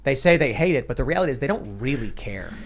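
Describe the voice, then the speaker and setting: A man hosting a panel show, chatting casually and getting animated, his voice jumping up when excited. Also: medium pitch, fast talker. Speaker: show host.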